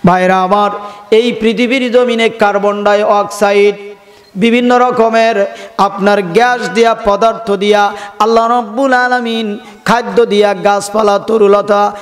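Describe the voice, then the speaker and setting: A Bangladeshi man preaching an Islamic sermon in a fervent, drawn-out, sing-song way, exhorting his listeners and at times chanting. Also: high voice, fairly even intonation. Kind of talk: fervent sermon with chanted passages and calls for audience response. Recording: long hall reverb, music-like sound behind.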